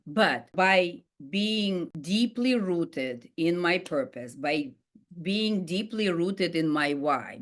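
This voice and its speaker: A woman's voice: lowish voice, fairly bright tone. Earnest woman with a Russian accent. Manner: earnest and heartfelt, with frequent fillers and restarts. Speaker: life coach. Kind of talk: personal storytelling monologue.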